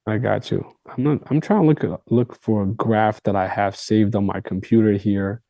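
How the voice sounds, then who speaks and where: A man whose first language is Arabic coaches listeners on a call. He stresses his points by repeating them. His voice is dark and mellow, recorded dry and close. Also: on the low side, fairly even intonation, moderate pace, vocal fry, no echo. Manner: emphatic, repeats phrases for stress. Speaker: coach and host.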